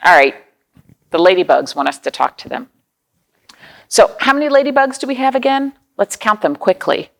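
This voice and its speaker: A woman's voice: mid-range voice, sing-song, normal speed, gravelly, long pauses. A woman hosting a children's storytime, reading aloud, explaining things simply and asking the young listeners questions.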